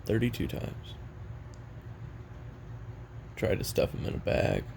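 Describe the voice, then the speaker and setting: An actor playing a weary, regretful young assassin in an audio roleplay, making a halting, brooding confession in a gravelly voice. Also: male voice, low-pitched, wildly swooping pitch, a little mellow, slight background noise, exaggerated voice. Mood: grim, matter-of-fact. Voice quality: gravelly, creaky. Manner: halting, brooding, casually profane.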